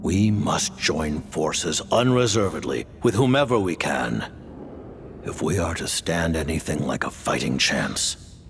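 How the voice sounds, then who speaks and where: An actor playing a cold, formal leader in a video game, speaking in a measured, grave way. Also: male voice, rumbling low, lively intonation, gravelly, a little hiss or hum, exaggerated voice. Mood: resolute. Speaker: Fatui leader. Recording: game cutscene with music.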